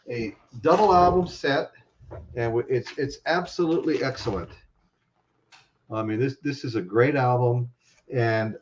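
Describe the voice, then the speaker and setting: A man's voice: mid-range voice, muffled recording, a little hiss or hum. A man hosting a casual live-stream chat, rambling with lots of fillers and frequent laughs. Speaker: host.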